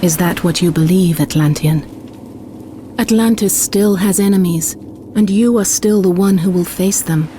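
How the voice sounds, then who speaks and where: An actress playing a wise goddess in a video game, speaking in a grave, measured, portentous way. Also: female voice, on the low side, dark tone, a little hiss or hum, close to an everyday voice. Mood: solemn. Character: solemn, wise goddess warning a mortal hero. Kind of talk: video game cutscene acting.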